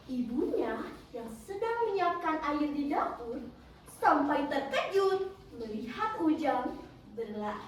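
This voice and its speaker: High-pitched, dramatic female storyteller. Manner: dramatic, deliberate phrasing. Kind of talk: theatrical storytelling, scripted narration. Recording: roomy, distant mic with background noise.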